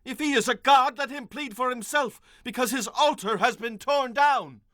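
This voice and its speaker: A man's voice: very high-pitched, moderate pace, a bit bright, dry recording, studio quality, pitched above the performer's own voice. A man voicing a defiant father who challenges a crowd in a scripted Bible drama, declamatory in manner.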